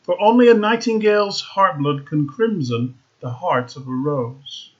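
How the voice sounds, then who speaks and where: A man reading a fairy tale aloud, slow and expressive with dramatic emphasis. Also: on the high side, muffled recording. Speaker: storyteller.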